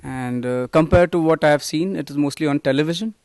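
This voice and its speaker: A man from India giving a short, halting testimonial as a yoga course student. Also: fairly high pitch, a little mellow, clear, resonant tone, no room sound. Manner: halting, with many fillers.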